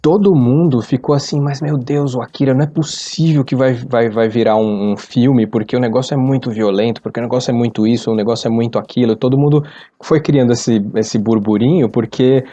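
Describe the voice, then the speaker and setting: A man in his forties talks with a Brazilian Portuguese accent in a mid-pitched, gently mellow voice. He chats casually, telling anecdotes on a podcast, and the microphone sound is a little dull. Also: steady tone, a little fast. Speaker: podcast participant. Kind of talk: casual conversational storytelling.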